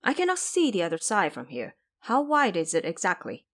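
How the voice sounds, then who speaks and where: Woman doing a worried gentle monk guy, earnest, lilting expressive lines, audio drama. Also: mid-range voice, fairly quick. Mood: concerned. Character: anxious, gentle male monk master.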